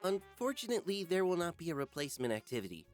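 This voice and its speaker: An earnest male schoolteacher in a game, with a fairly high voice. He is ponderous and dramatic, pausing carefully, his pitch moving widely. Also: very animated, faint music behind. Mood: grave. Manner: measured, dramatic pauses.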